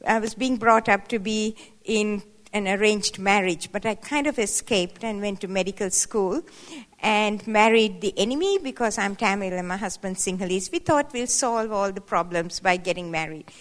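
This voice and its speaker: A woman's voice: mid-range voice, moderate pace. Playful woman in her seventies, echoing hall. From Sri Lanka. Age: 70s. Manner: playful, conversational, rising emphatic asides. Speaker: guest speaker. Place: hall at a women's church event. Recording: long reverb of a hall.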